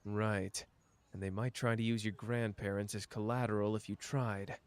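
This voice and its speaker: A man's voice: lowish voice, a little hiss or hum, natural voice. A young man voicing a clever, protective young man in an ASMR roleplay, talking in a dry, teasing way close to the listener. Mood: thoughtful. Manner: dry, teasing, quick-witted, close and intimate.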